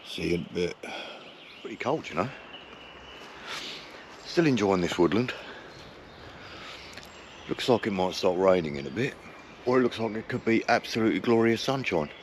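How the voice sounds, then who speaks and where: A man's voice: on the low side, expressive, normal speed, long pauses, slight background noise. A middle-aged man, hungover and groggy, chatting jokily to camera at a woodland camp. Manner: chatty, jokey, self-mocking. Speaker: vlogger. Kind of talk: casual camping vlog to camera.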